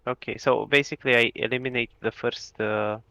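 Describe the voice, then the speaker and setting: Bright-voiced man on a muffled call. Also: mid-range voice, steady tone, brisk, creaky, no room sound. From Bulgaria. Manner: agreeable, thinking aloud with hesitations. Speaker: student. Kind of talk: casual questions in a lesson.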